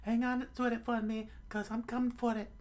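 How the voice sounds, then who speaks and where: A woman's voice: fairly high pitch, little pitch movement, brisk, darkish tone, voice pushed up, natural voice. A woman voicing a hot-tempered, greedy young female thief who scolds indignantly in an adventure story. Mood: angry scolding.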